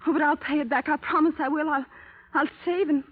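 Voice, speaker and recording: An actress playing an eager, coaxing, childlike young wife in vintage radio drama style, with breathless, gushing delivery. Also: female voice, high voice, fairly even intonation, fairly quick, natural voice. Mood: anxious pleading. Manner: breathless pleading, exclaiming and gushing. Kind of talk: 1930s-40s radio drama acting. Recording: muffled, band-limited vintage radio recording.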